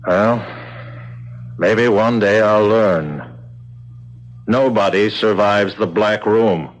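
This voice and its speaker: An actor playing a weary, rueful male prison boss with a soft streak, speaking in a measured, wistful way in an old-time radio drama. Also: lowish voice. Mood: rueful, defensive. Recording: dull old radio recording.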